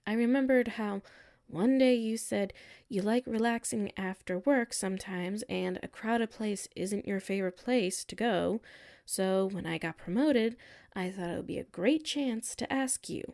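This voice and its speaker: A woman's voice: mid-range voice, measured pace, gravelly, darkish tone. A woman playing a hurt, defensive tsundere coworker with a secret crush in a roleplay audio, exasperated and emphatic, dropping into sulky murmurs. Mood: wounded, confessional. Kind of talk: roleplay audio acting, one side of a conversation.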